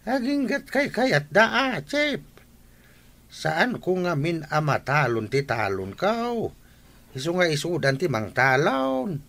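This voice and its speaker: A folksy village uncle talks slowly with an Ilocano accent. His pitch swoops about in a bright, forceful voice, in the manner of radio comedy. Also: big pitch swings, creaky, exaggerated voice. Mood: chatty.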